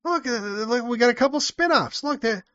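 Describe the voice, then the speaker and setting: A man voicing an overeager TV presenter who keeps pleading with viewers to look, in a singsong, high voice. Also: lively intonation, moderate pace, bright, forceful tone, no echo, dull audio, exaggerated voice. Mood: eager coaxing. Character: overeager male TV voice reassuring viewers.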